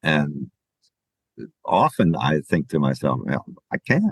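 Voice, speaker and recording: A man hosting a podcast, interviewing a guest in a lively, joking way with halting restarts. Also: very deep voice, slightly rough, dry recording. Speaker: podcast host. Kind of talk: conversational interview.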